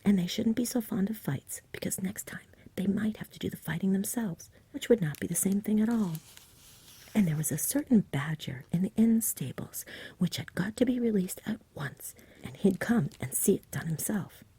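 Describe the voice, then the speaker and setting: A woman reading a bedtime story in a soft, whispery ASMR style, gentle and unhurried, close to the mic. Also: medium pitch, lively intonation. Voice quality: whispery, breathy. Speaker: storybook reader.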